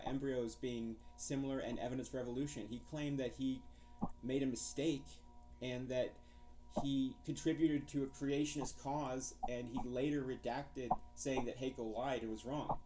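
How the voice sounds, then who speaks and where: A man's voice: mid-range voice, steady tone, normal speed, warm tone, faint music behind. A man in an argumentative phone debate, pressing his questions persistently and challenging the other side.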